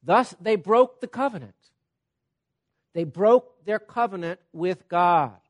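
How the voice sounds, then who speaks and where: A man with a high voice delivers a sermon in an animated way. He swings from loud, emphatic points to soft, quiet asides and often checks in with his listeners. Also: slow. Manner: repeats "Okay?" to check on listeners. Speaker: preacher.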